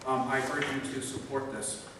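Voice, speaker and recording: A man's voice: mid-range voice, flat delivery, moderate pace. A man giving earnest, persuasive testimony as a public commenter at a city council meeting. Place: large council chamber indoors. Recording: echoey council chamber from far off, noisy.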